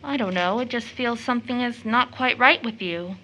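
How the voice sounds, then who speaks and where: A woman's voice: on the high side, normal speed, pushed and bright, rough recording. An actress playing an eager, tender-hearted teenage schoolgirl in an audio drama, chatty, girlish and earnest. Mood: tender, troubled. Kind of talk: stage-play audio drama acting.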